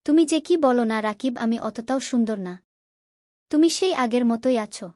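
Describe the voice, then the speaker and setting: A woman voicing a sweet, shy young village girl in a Bangla cartoon, speaking softly and affectionately. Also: a little high, expressive, fairly quick, no room sound, studio quality, close to an everyday voice. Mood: bashful. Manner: soft, affectionate.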